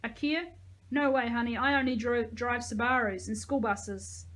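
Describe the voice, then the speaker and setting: A woman voicing a tough, motherly grey-haired army reservist with a gravelly voice and folksy manner, in an audiobook. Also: fairly high pitch, fairly even intonation, normal speed, a bit bright, lightly acted. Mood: reassuring. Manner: bellowing orders, folksy endearments.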